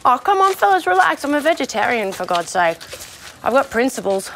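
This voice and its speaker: A freshly turned female zombie with strong opinions and a fairly high voice. She rants in a sardonic, sweary, chatty way, as in a naturalistic comedy short. The location sound is clearly noisy. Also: big pitch swings, fairly quick, a bit bright, heavily acted. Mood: wry, cajoling. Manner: sardonic, sweary, conversational ranting. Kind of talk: naturalistic short-film comedy acting, mock interview.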